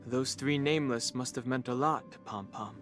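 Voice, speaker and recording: An actor playing a calm, serious young man in a video game, guarded, formal and restrained in his speech. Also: mid-range voice, normal speed, soft background music, lightly acted. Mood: thoughtful.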